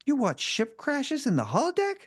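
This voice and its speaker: A woman playing a disapproving, puzzled male officer in a questioning, concerned way at an animated sci-fi comedy table read. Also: high-pitched, moderate pace.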